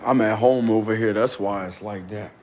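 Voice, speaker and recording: A man vlogging casually and insistently from a small rented room, with a deep, loud voice. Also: normal speed, a little hiss or hum. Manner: excited, insistent, slangy. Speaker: spiritual vlogger. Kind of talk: casual vlog to camera.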